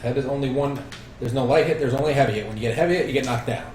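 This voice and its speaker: A man's voice: mid-range voice, racing, a little mellow. A man co-hosting a casual tabletop game stream, chatty and easygoing as he plays his turns. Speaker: co-host and player. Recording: echoey room with faint noise.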